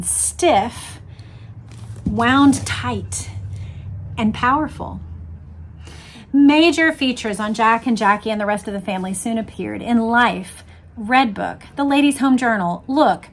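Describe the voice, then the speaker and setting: A woman hosting an expressive book read-aloud, with engaged casual asides addressed straight to her listeners. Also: fairly high pitch. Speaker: host reading a book aloud with commentary.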